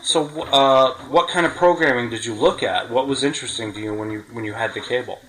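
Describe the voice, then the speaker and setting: A man's voice: medium pitch, fairly quick, fairly bright tone, slight background noise, low-quality recording. A middle-aged man hosting a podcast, chatting casually and reminiscing in a talkative way, often rephrasing himself. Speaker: podcast host.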